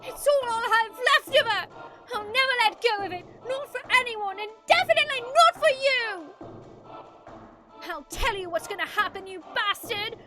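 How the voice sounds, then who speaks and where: A woman's voice: very high voice, lively intonation, normal speed, music in the background, voice pushed up. A woman voicing a brash, hot-tempered young female thief in a video game, defiant and slangy. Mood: furious defiance. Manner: defiant, shouting, slangy insults.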